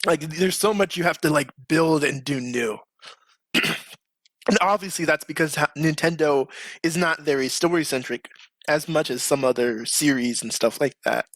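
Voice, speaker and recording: Casual guy podcast host, bit higher voice, slangy, dry close sound. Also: normal speed, fairly bright tone, no room sound. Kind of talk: casual chat.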